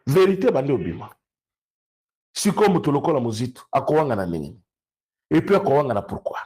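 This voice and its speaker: A man with a Congolese accent and a husky voice, giving emphatic, unscripted political commentary and repeating phrases for stress. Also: mid-range voice, very animated, a little fast, darkish tone. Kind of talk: unscripted political commentary, switching between two languages.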